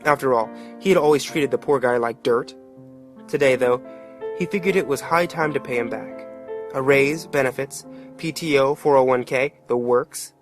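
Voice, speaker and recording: A man's voice: medium pitch, normal speed, over music, lightly acted. A man playing a plain-spoken working-class father who narrates a dramatic tale in an earnest, colloquial way. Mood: reflective.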